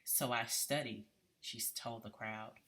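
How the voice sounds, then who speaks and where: An adult reads a biographical piece aloud. The voice sits at a medium pitch, and the delivery is deliberate and measured, like someone carefully following a printed text. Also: fairly even intonation, sharp tone.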